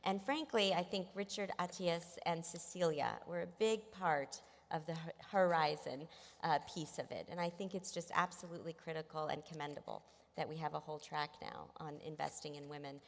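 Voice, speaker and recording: A woman's voice: sits low, fairly even intonation, normal speed, gravelly. A woman born in Egypt, speaking as a panelist with enthusiasm and frequent ums. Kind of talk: panel discussion. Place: large hotel conference hall. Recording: reverberant conference hall.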